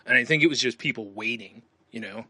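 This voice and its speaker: A man's voice: mid-range voice, quick pace, pushed and bright, husky, no room sound. A man co-hosting a podcast, telling a rambling story in casual chat with lots of fillers and frequent laughs. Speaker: podcast co-host.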